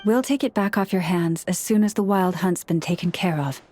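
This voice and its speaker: Woman playing a soft-spoken, solemn young priestess. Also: on the low side, soft background music, natural voice. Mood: warm, polite. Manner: soft-spoken, earnest, measured. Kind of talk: video game voice acting.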